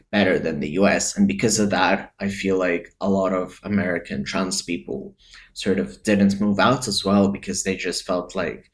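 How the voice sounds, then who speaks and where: A man who grew up in Eastern Europe, giving casual, reflective opinion commentary and thinking aloud. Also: sits low. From Eastern Europe, Lithuania and Ukraine.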